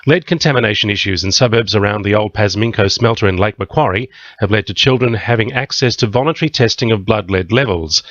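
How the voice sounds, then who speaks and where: A man's voice: on the low side, a bit bright, dry recording. An Australian man reading a scripted radio news bulletin as the newsreader. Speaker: news reader. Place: dry radio studio. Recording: slightly muffled, dull radio audio.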